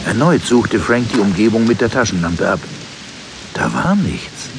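Male narrator, lowish voice with big swings, dramatic horror reading, loud rain and thunder behind. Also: very animated, normal speed, vocal fry, noisy background. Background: rainstorm with thunder.

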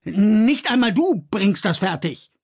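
Ein besorgter, vorsichtiger älterer Gefährte, von einem männlichen Sprecher gesprochen, der langsam und mahnend redet. Die Stimme ist leicht rau und zögerlich. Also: male voice, high voice, very animated, a little mellow, breathy edge, pitched above the performer's own voice, full character voice. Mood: shaken. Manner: slow, hesitant, admonishing. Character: older, cautious man, worried and weaker.

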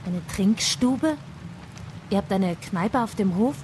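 A woman's voice: mid-range voice, lively intonation, takes it slowly, darkish tone, a little hiss or hum. An actress playing a reserved, observant young city-bred woman in a German radio drama. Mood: cool, curious. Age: young adult.

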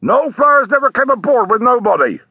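A merchant sailor in a story reading. The voice sits high and has a rough, husky edge. He speaks insistently, in salty, ungrammatical phrases. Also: big pitch swings, a little fast, bright, forceful tone, muffled recording, full character voice. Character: stubborn male merchant sailor on watch. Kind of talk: audiobook character voice.

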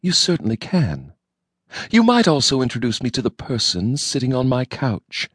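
A man's voice: medium pitch, wildly swooping pitch, moderate pace, husky, no echo, studio quality, voice pushed up. A man playing an icy, imperious wife. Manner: clipped, cold, precise. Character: icy, imperious wife, woman, coldly controlled. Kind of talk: audiobook character voice.

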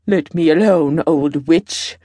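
Curt, low-pitched young nobleman, voiced by a woman. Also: takes it slowly, mellow, dry recording, clean studio sound. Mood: scornful. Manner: curt, dismissive. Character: haughty, callous young nobleman traveller.

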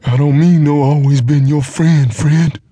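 A man's voice: fairly high pitch, moderate pace, warm tone, husky, no echo, pitched above the performer's own voice. A man voicing a hulking, half-witted giant who pleads and whines in a strained, raised voice. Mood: whining insistent. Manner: whining, insistent, pleading.

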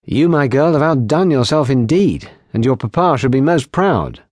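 A genial, courtly army lieutenant, as a narrator plays him in an audiobook. He speaks formally in a husky voice pitched a little up. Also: sing-song, normal speed, a little mellow, no echo, clean studio sound.

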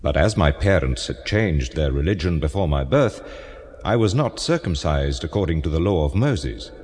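A solemn renaissance seer in a radio play, formal and oracular, swooping delivery, big cavernous reverb. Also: male voice, very deep voice, lively intonation, moderate pace, a little mellow. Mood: solemn reminiscence. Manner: grave, oracular, formal archaic phrasing. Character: solemn French Renaissance prophet and physician recounting his life. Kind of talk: dramatised audiobook monologue, theatrical declamation.

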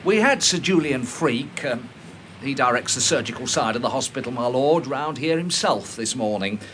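A man's voice: medium pitch, lively intonation, normal speed, fairly bright tone, a little hiss or hum, close to an everyday voice. An actor playing a timid, fussy, deferential Englishman in a radio drama, stammering and obsequious, with an English accent. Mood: nervous, deferential. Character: timid, deferential, fussy little lower-middle-class Englishman. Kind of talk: full-cast BBC radio drama acting.

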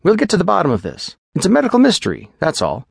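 A man's voice: high-pitched, huge pitch swings, fast, gravelly, slightly rough, dry recording, studio quality, voice pushed up, full character voice. A man playing a rattled middle-aged doctor, speaking in a fussy, clinical and nervously reassuring way.

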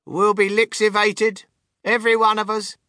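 Man voicing a fretful old grandmother, high-pitched. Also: sing-song, takes it slowly, pushed and bright, dry recording, clean studio sound, exaggerated voice. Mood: panicked. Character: fretful, blunt, doom-laden old grandmother.

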